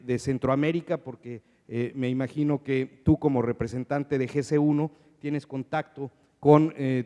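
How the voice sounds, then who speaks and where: A man with a Mexican accent moderating a conference panel in a semi-formal, hesitant and halting way, often repeating his words. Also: mid-range voice, mellow. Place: conference hall. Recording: reverberant conference hall sound.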